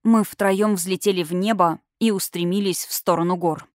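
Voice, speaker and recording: A woman reading a fantasy novel as an audiobook narrator, in an expressive scripted storytelling style. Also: on the low side, normal speed, no room sound, clean studio sound.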